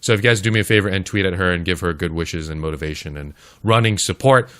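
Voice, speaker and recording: A slightly hoarse man with a lowish voice hosts a live esports stream. He casts matches and chats casually with the viewers, keeping a relaxed flow between updates. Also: fast. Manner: chatty, talks straight to the chat. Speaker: esports caster and stream host.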